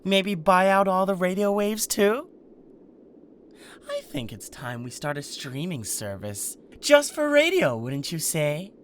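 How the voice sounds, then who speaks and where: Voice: medium pitch, huge pitch swings, slow, a little hiss or hum. A performer playing a cocky, protective male king of Hell in an ASMR roleplay, sarcastic and defiant. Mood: smug sarcasm.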